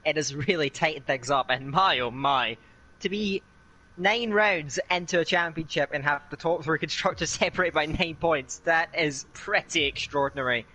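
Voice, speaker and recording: Bright-voiced Scottish race commentator. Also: male voice, fairly high pitch, poor audio. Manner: chatty, with frequent "uh" fillers and a quick laugh. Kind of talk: live sim racing commentary.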